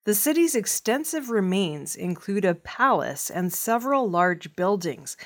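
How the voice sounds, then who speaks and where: A woman news reader delivering scripted news narration. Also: fairly low pitch.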